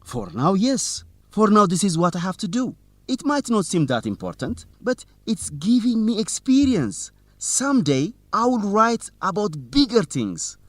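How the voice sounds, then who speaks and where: An actor playing an earnest, idealistic young student journalist in a radio drama, speaking with conviction. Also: male voice, high voice, very animated, unhurried, no room sound, full character voice. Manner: earnest, impassioned.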